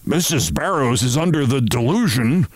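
A man's voice: medium pitch, lively intonation, breathy edge, dry recording, voice pushed up. A man voicing a pompous older male boss in a story, formal and regretful, hemming and hesitating as he goes. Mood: embarrassed. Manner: halting, formal, hemming with ums and uhs. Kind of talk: storybook character voice.

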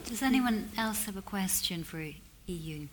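A woman moderating a live audience Q&A, lively and friendly in her manner. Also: medium pitch, measured pace. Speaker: event moderator. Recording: crowd audible behind.

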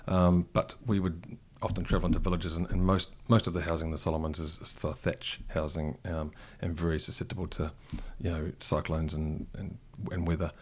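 Low-voiced New Zealand man, reflective. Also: little pitch movement, fairly quick, hardly any pauses, a little mellow, breathy edge. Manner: thoughtful, frequent restarts and fillers. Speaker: interview guest, cafe co-founder. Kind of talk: reflective conversational interview.

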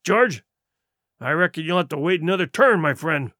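A man's voice: fairly high pitch, animated, moderate pace, pushed and bright, dry recording, studio quality. A man voicing a sly, domineering pirate sea cook in an audiobook, with blustering, derisive, rustic seafaring talk. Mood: smug, wily. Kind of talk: audiobook character voice.

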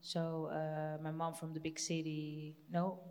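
A woman's voice: sits low, monotone, a little slow, a little mellow, smooth. A woman with a Dutch accent talking as a panel guest, hesitant and searching for words. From Netherlands. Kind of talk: panel talk. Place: live event hall with audience. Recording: reverberant hall.

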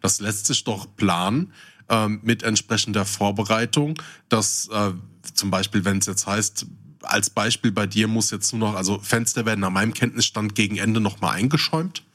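A man's voice: fairly low pitch, normal speed, a bit bright. A man co-hosting a casual podcast chat, asking questions and arguing his point in detail. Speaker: podcast co-host.

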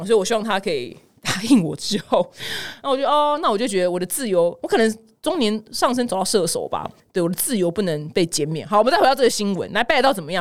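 A woman with a Taiwanese Mandarin accent hosting a casual news podcast, exclamatory and dramatic, wandering into personal asides and mixing in English words. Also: mid-range voice. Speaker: podcast host.

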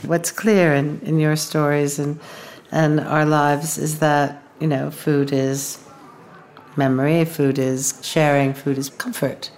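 Low-voiced woman hosting an interview. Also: flat delivery, slow, a little mellow, a little hiss or hum. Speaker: podcast host. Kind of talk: interview chat.

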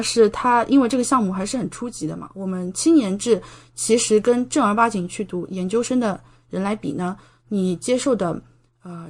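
A woman in her thirties speaks at a medium pitch in a casual interview. She explains and recounts in a chatty, conversational way, often checking in with little tag questions. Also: darkish tone. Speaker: podcast guest, doctor studying abroad.

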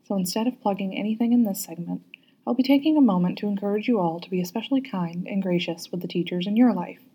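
A woman narrating a story aloud as a guest reader, with an expressive, teacherly way of addressing listeners. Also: medium pitch, quick pace, husky, rough recording.

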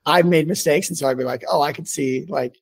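Male podcast host, slightly high voice, informal and rambling, conversational interview delivery. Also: fairly quick, creaky, slightly rough. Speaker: podcast host and business owner.

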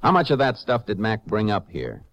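An American man acting a stern, steady Texas Ranger in a 1950s radio western, speaking in a terse, firm, folksy way. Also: lowish voice, normal speed, full character voice. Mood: firm, pressing. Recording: muffled old radio recording.

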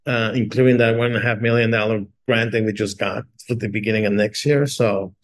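A man's voice: fairly low pitch, steady tone. A middle-aged man from Mexico, a chamber executive, talking casually as a guest in an interview, with frequent restarts and fillers. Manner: halting, many restarts and fillers.

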